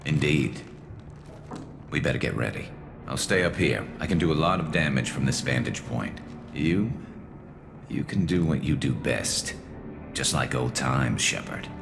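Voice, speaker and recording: A man playing a weary, wry alien vigilante sniper in a video game, speaking in a dry, laconic, gravelly way. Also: lively intonation, a little slow, heavily acted. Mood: calm, tactical. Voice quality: gravelly, low. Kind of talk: video game cutscene acting. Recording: music behind the voice.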